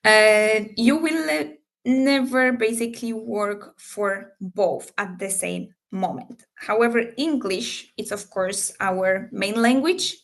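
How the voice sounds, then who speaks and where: Slow, gravelly-voiced woman recruiter. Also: medium pitch. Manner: unhurried, many fillers, slightly non-native phrasing. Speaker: recruiter hosting a live Q&A. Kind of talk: live Q&A, explaining job details.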